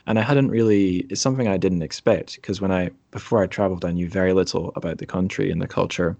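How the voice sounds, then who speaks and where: Low-voiced man hosting a podcast, dry sound. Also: a little mellow, no echo. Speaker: podcast host. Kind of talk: casual interview conversation.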